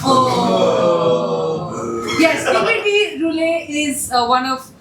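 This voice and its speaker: A woman's voice: sits high, expressive, extremely slow, music in the background. A woman hosting a live improv show, chatty and joking, rallying the audience. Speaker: show host. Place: theatre stage. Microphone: distant room mic. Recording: echoey stage, distant mic, loud noise.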